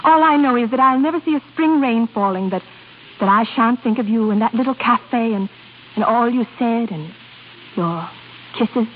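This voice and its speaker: An actress playing a proud, lovestruck opera singer in a theatrical, halting 1930s radio drama style. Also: female voice, a little high, lightly acted. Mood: anguished, tender. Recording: scratchy, tinny 1930s radio broadcast.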